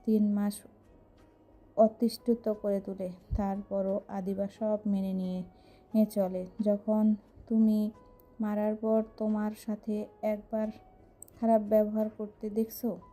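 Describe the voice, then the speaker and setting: A woman's voice: mid-range voice, little pitch movement, slow, pauses often, dark tone, faint music behind, lightly acted. An actress playing a loyal young working woman who speaks in a plain, confiding way in an audio story.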